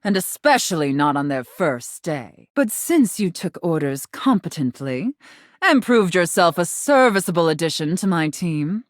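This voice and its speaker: A woman's voice: lowish voice, very animated, unhurried, no echo, clean studio sound. A woman playing a haughty, domineering, condescending mistress in a video game, speaking with formal, imperious, disdainful diction. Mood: exasperated, haughty. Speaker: voice actor in a dating simulator game. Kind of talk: theatrical video game character acting.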